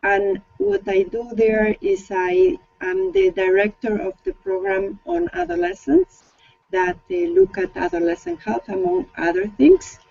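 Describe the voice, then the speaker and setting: A woman's voice: mid-range voice, gravelly, mellow, husky, dry recording, muffled recording. A woman introducing herself as a program director at an international agency on a video call, restarting phrases as she goes.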